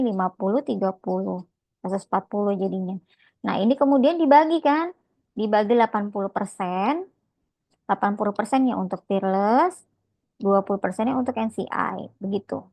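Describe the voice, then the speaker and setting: A woman's voice: fairly high pitch, darkish tone, no room sound. A woman lecturing informally on accounting step by step, conversational and often checking in with short tags.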